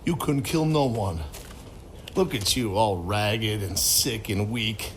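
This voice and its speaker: A man's voice: on the low side, expressive, slow. An actor playing a belligerent American mine foreman in a video game, taunting and aggressive, in noisy scene audio. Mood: jeering, hostile. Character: jeering, belligerent male mine foreman bully. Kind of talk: dramatic video game acting.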